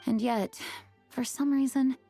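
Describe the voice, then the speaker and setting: An actress playing a composed young female knight in a video game, speaking formally and with measured, earnest storytelling. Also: sits high, steady tone, dark tone, slightly rough, full character voice. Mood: pensive, concerned. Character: composed, dutiful young knight woman recounting lore. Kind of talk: scripted video game dialogue. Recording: game music behind the voice.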